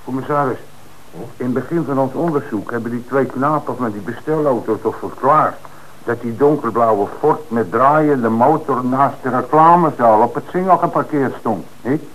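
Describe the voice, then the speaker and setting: A man's voice: mid-range voice, moderate pace, close to an everyday voice. A man playing an eager, insistent police detective. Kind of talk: 1960s radio play acting. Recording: noisy old radio recording.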